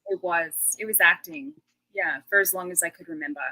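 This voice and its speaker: Bright chatty Australian woman. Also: on the low side, a little fast, poor audio. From Western Australia. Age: 30s. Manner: chatty, laughs often. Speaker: guest. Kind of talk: casual interview chat.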